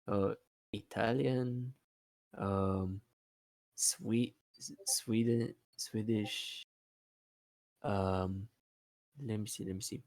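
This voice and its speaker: A man who is not a native English speaker, chatting casually in a halting way with repeated words and many fillers. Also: on the low side, steady tone, darkish tone, dry recording. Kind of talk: casual hesitant chat.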